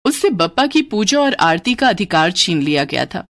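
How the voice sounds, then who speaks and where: This is a woman telling a scripted moral cartoon story. Her mid-range voice moves up and down in a very animated, dramatic storytelling style. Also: medium pitch, moderate pace, no room sound, clean studio sound.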